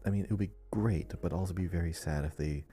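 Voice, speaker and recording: A man's voice: sits low, fast talker, warm tone, faint music behind. A man co-hosting a casual gaming podcast, chatting and reading listener messages with chatty asides. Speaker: podcast co-host.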